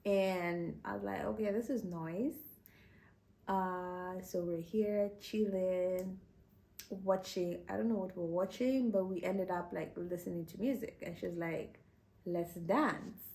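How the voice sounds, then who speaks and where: A woman's voice: fairly low pitch, slow, vocal fry, mellow. A young woman from Kenya, telling a personal story to camera in a chatty way, often laughing and saying "you know". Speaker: vlogger.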